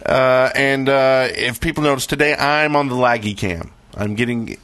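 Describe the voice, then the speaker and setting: A man's voice: mid-range voice, normal speed, fairly bright tone. A man co-hosting a podcast in a casual, matter-of-fact chatting style. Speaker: podcast co-host. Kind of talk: casual group podcast chat.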